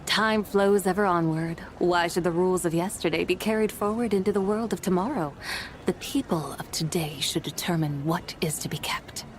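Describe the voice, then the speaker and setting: Woman playing a proud ancient female spirit, slightly low pitch, lofty, mischievous, archaic, game dialogue. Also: normal speed, background noise, lightly acted. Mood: stately, musing. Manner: grandiose, archaic phrasing, theatrical. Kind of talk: video game character dialogue. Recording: game music behind the voice.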